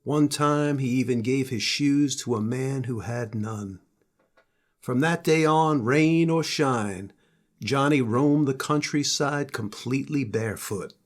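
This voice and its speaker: A man's voice: mid-range voice, takes it slowly. An older man, a grandfather, narrating a children's story.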